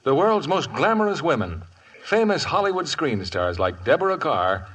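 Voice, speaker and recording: Animated, husky male radio announcer. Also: on the high side, moderate pace. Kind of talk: 1950s scripted announcing and advertising.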